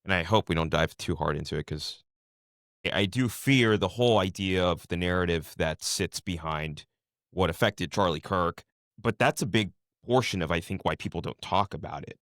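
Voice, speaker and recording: Low-voiced male guest with short, blunt interjections, recorded dry. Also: moderate pace, fairly bright tone, no room sound. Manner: terse, chiming in. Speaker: podcast guest. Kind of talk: casual political discussion.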